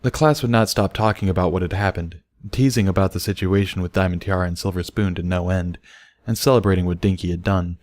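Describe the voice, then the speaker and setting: A man narrates a written story for an audiobook. His voice is fairly low. The recording is dry, with very little room sound. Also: fast, a little mellow, no echo.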